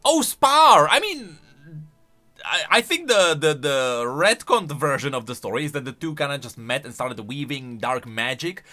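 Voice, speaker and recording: A man hosting off-the-cuff commentary on video game lore in an excitable, emphatic way. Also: fairly high pitch, unhurried, faint music behind.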